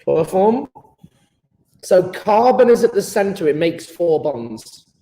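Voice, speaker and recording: British guy teaching chemistry online, slow and jokey, somewhat high voice. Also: sing-song, warm tone, reverberant, poor audio. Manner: playful and enthusiastic, joking with pupils. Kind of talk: live online lesson.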